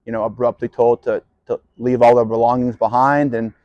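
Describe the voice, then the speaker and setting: A man's voice: mid-range voice, level tone, brisk, dry recording, dull audio. A man recounting family history in a documentary interview, hesitant with "you know". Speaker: grandchild of survivor.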